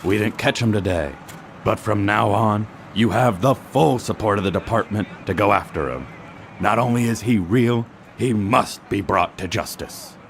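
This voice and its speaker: A man playing a stern, authoritative police chief in a radio drama, with a gravelly, husky voice and a brusque, commanding manner. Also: on the low side, moderate pace, a little hiss or hum. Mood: approving.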